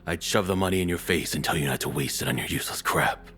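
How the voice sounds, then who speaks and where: Male actor as cruel possessive ex-boyfriend, extremely low voice, taunting, domineering, intimate roleplay monologue, music underneath. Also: pushed and bright. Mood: scornful. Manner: taunting, domineering, slow deliberate menace. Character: controlling, cruel, menacing abusive ex-boyfriend.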